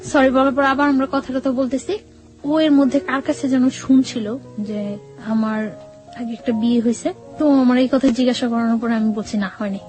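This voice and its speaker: A woman with a high voice recounts her own experiences as an interview guest. She speaks conversationally and haltingly, slipping in quoted dialogue, over faint background music. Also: fairly even intonation, darkish tone. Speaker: guest telling her life story. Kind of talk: personal storytelling in an interview.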